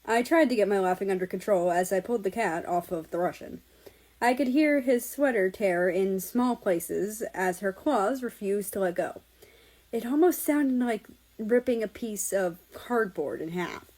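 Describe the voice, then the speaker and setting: A woman narrates a piece of amateur fiction in a medium-pitched voice. She reads it aloud as a storyteller, in the manner of a homemade audiobook. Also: expressive, moderate pace, darkish tone, rough recording. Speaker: audiobook-style reader of fan fiction.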